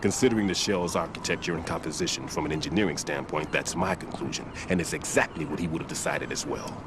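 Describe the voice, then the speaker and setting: Very deep-voiced, grave demolitions expert. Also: male voice, background noise. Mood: grave, certain. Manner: measured, formal, expository. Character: grave middle-aged male bomb disposal expert, methodical, authoritative. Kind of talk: video game cutscene voice acting.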